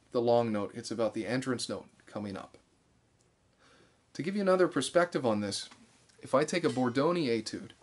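A man in his thirties giving an instructional talk as a musician teaching, explaining things in a deliberate way. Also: mid-range voice. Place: small bare room indoors.

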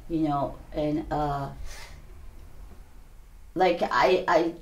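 An older woman from Hawaii telling her life story in an interview, in a halting, plainspoken way with many "you know"s. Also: low voice, fairly even intonation, roomy sound. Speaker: interview guest. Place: small indoor studio, seated before a backdrop.

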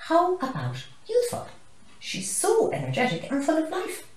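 A woman's voice: high voice, wildly swooping pitch, moderate pace, voice pushed up. A woman voicing a friendly, earnest young male warthog pupil in a storybook. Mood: excited. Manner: eager, heartfelt. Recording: roomy, echoey living room.

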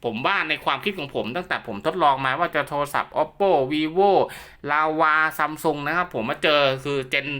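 A man with a very bright, forward voice pitched a little high for a male speaker. He explains equipment like a hands-on technician, in a chatty, instructive way, often going back over a point. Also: measured pace, clear, resonant tone. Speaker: radio equipment technician and seller giving a demo. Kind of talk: informal product demonstration and explanation.

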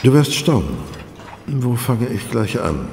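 A man's voice: medium pitch, very animated, measured pace, gravelly, noisy background. An actor playing a wry forensic pathologist in a crime audio drama, savoring his explanations theatrically. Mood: wry, pleased. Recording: echoey hall, loud music behind.

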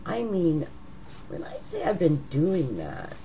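A middle-aged woman with a rough, raggedy voice, talking casually to her webcam at home as an astrologer, slow and musing, trailing off into whispers. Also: fairly low pitch, huge pitch swings, noisy background. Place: living room at home. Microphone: webcam at desk.

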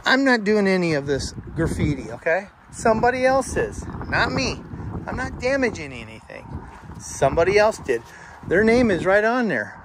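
Male vlogger, fairly high voice, heated and repetitive, outdoor camera mic with traffic noise behind. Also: big pitch swings, moderate pace. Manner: insistent, repetitive, heated when addressing critics. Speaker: urban explorer vlogger. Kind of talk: defensive walking commentary. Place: outdoors at abandoned desert ruins beside a highway. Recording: outdoor road noise clearly audible. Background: passing cars and wind.

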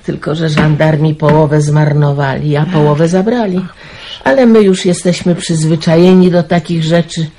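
An actress playing a careworn, kindly middle-aged aunt in a radio play, homely and chatty, with sighs. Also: female voice, low voice, fairly even intonation, normal speed, mellow. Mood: gossipy. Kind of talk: 1990s Polish radio play acting. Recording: noisy radio drama recording with clicks.

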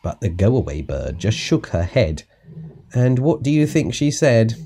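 A man reading a children's audiobook as narrator, in an expressive, measured read-aloud style. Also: fairly low pitch, slight background noise. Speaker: storybook narrator.

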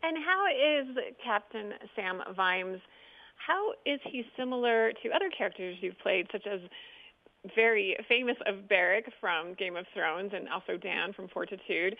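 A woman's voice: mid-range voice, measured pace, phone-quality sound. An American woman presenting and interviewing in a local television style.

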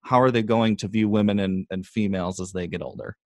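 A man's voice: on the low side, fairly even intonation, quick pace, a little mellow, no room sound. A man hosting a podcast interview, conversational and reflective, agreeing with his guest. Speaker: podcast host.

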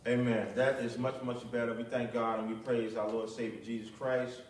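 A middle-aged man preaching and praying as a pastor, speaking in measured, deliberate short phrases into a pulpit microphone in a small church. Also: mid-range voice, little pitch movement, slight background noise. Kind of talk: sermon with prayer and commentary. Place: small, nearly empty church, at a pulpit indoors. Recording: echoey church, distant microphone.

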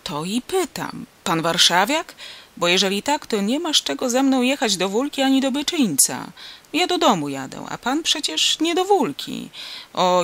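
A storybook reader voicing a gruff, irritable, suspicious peasant man who scolds in rustic, exclamatory speech. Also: medium pitch, sharp tone, voice pushed up, heavily acted.

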